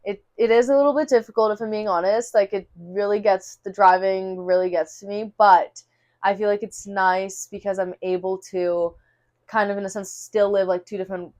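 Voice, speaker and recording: A woman's voice: mid-range voice, fairly even intonation, darkish tone. Young woman college student chatting casually. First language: Russian. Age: young adult. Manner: agreeable, quick to chime in with affirmations. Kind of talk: casual interview chat.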